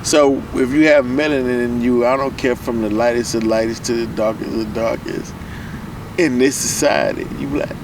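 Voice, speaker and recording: A man's voice: mid-range voice, fairly even intonation, moderate pace. A man from the United States hosting a casual interview, agreeable and chiming in with short affirmations. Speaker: radio show host, interviewer. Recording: distant mic with loud street and car noise.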